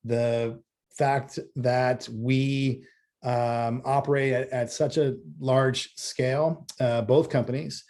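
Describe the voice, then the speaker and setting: A man from the US East Coast speaks at an ordinary mid pitch in an informal presentation. He feels his way through sentences haltingly, pausing often as he introduces himself. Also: little pitch movement, a little mellow. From US East Coast, Massachusetts. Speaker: company creative director presenting.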